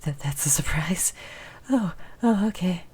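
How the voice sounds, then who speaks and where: Woman voicing a timid stammering mouse, low and mellow. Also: animated, husky, full character voice. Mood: panicky. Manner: nervous stammering, halting and repeating words. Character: tiny timid mouse person, nervously eager to please. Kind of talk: improvised ASMR roleplay. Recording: faint background noise with rain ambience. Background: rain sounds.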